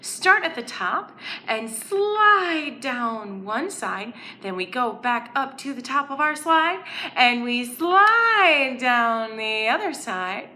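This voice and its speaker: A woman leads a preschool story time in a bright, high-leaning voice with some room echo around it. She enunciates carefully and encourages children to follow along, in the playful manner of an early-years lesson with songs and rhymes. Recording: roomy echo, faint background noise.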